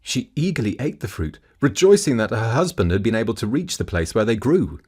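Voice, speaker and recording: A man's voice: fairly low pitch, big pitch swings, fairly quick, a little mellow, slightly rough. A man reading a fairy tale for children as a scripted narration. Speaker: storyteller.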